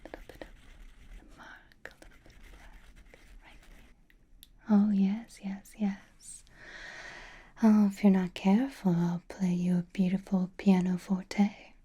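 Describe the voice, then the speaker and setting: A woman playing a centuries-old aristocratic vampire lady in a whispered ASMR roleplay, doting, possessive and softly teasing, with refined manners and a breathy voice. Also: medium pitch, little pitch movement, slow pace, long pauses. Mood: soft, attentive.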